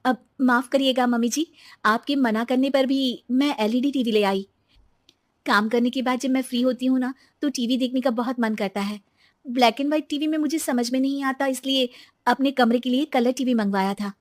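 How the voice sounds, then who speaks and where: Woman as Mansi, earnest high-voiced young daughter-in-law. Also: moderate pace, dry recording, studio quality, lightly acted. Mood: respectful. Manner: polite, pleading, earnest. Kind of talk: animated Hindi moral story dialogue.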